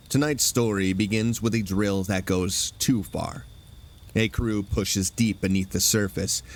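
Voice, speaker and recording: Low-pitched male horror radio host. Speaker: host introducing a radio drama. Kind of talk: scripted campfire-style horror narration.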